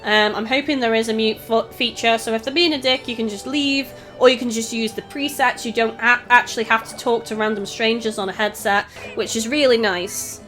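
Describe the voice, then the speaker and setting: A woman's voice: a little high, steady tone, fairly quick, hardly any pauses, fairly bright tone, faint music behind. A woman with a Northwest English Scouse accent touched by Welsh, chatting casually and reading out chat as a live gaming host in a raised, projecting voice. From Northwest England. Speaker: livestream host, gamer. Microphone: desktop USB microphone.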